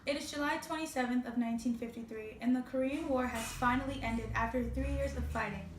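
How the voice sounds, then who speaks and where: A young woman reads as a student reporter in a fairly high voice. The recording is roomy and echoing, with some faint background noise. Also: fairly quick. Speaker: student news reporter and interviewer. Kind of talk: scripted news report.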